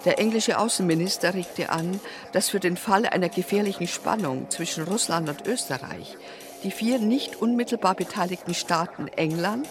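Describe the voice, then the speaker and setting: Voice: medium pitch, expressive, moderate pace, music in the background, close to an everyday voice. A performer playing an official who reads a diplomatic dispatch precisely and formally in a historical radio drama. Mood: neutral.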